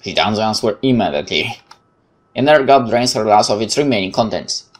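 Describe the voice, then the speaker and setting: A man's voice: fairly low pitch, expressive, a little fast. Polish-accented man reading game narration expressively. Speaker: game streamer. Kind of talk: reading game narration aloud with brief commentary.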